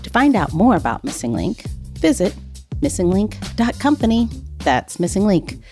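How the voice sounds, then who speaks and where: A woman hosting a podcast, presenting announcements in a clear conversational host's style. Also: medium pitch, very animated, normal speed, mellow, soft background music. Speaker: podcast host.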